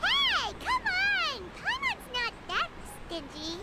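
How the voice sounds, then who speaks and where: An actress playing a small, childlike, chattery fairy companion in a video game, perky and cheerful. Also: female voice, extremely high pitch, wildly swooping pitch, slow, heavy background noise, heavily acted. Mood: indignant. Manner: refers to herself in the third person, perky. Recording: game music behind the voice.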